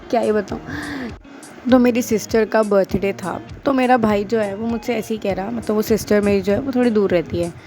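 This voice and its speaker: A woman's voice: a little high, warm tone. A woman in her twenties chatting casually to her viewers in a self-deprecating way. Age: 20s. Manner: chatty, mixing English phrases, self-deprecating. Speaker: vlogger and school teacher. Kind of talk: casual Hinglish chat to camera.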